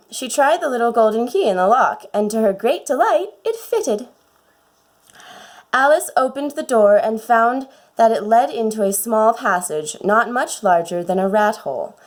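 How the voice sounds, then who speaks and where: A woman reading a children's storybook aloud with a put-on British accent, in an expressive storytelling manner. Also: a little high, unhurried. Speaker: audiobook reader.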